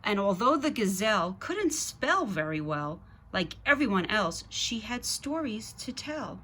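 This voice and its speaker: Female picture-book reader, unhurried pace, mid-range pitch, addresses the kids directly between lines of the story. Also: very animated, fairly bright tone. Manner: measured, addresses young listeners directly. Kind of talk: children's picture book read-aloud with asides to children.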